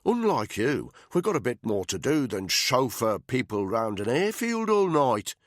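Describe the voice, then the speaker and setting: A man's voice: lowish voice, sing-song, measured pace, a bit bright, no echo, studio quality, voice pushed up, exaggerated voice. A man doing a sneering crude soldier. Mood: scornful. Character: sneering brash male soldier, unit commander.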